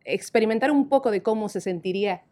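A woman from Argentina, a worship leader speaking warmly and enthusiastically in a podcast conversation. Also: mid-range voice, quick pace.

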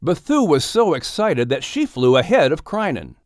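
A man reading a children's audiobook story as a scripted, expressive narrator. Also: a little high, normal speed, no echo, studio quality.

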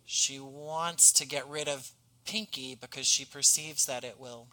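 A man's voice: mid-range voice, fairly even intonation, unhurried, bright, forceful tone, rough recording. A man speaking as a podcast guest in a conversational interview, earnest and effusive in manner. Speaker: podcast guest, audio drama writer and actor.

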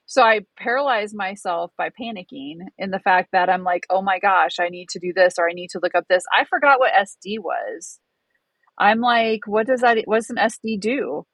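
A woman's voice: mid-range voice, lively intonation, normal speed, a bit bright, slightly rough, dry recording. Chatty woman rambling in a dry room. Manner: self-deprecating, rambling with restarts. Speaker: podcast co-host. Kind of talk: casual chat.

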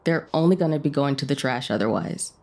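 A woman's voice: extremely low pitch, lively intonation, fairly quick, creaky, a little mellow, slight background noise, exaggerated voice. A woman acting as a friendly, teasing diner owner in a romance audio drama, with a casual, bantering manner. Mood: playful, friendly. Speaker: guest voice actor in an audio romance.

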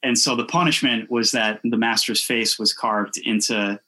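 A man with a husky voice, a podcast guest telling a story in a casual way. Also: mid-range voice, fairly even intonation, moderate pace, bright, forceful tone.

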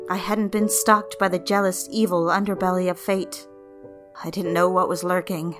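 A woman narrating a dramatic story in the first person, speaking confidingly and directly to the reader. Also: mid-range voice. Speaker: narrator and lead character.

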